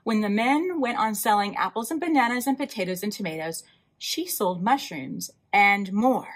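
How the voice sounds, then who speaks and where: A woman hosting a library storytime, reading a picture book aloud in an animated way, with emphatic drawn-out words and asides to young listeners. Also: mid-range voice. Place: indoors, in front of a wall with floral decals.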